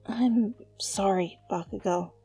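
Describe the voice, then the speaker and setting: A woman voicing a flustered, feisty teenage student in an animated, halting dramatic fan fiction reading. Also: mid-range voice, breathy edge, no echo, soft background music, heavily acted. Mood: apologetic. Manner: animated, stammering, swinging from taunting to apologetic.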